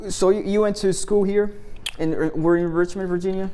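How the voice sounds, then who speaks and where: Upbeat man with a higher voice. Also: fairly even intonation, moderate pace, mellow, smooth. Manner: encouraging, upbeat, laughs often. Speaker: podcast host, business owner. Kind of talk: casual podcast conversation.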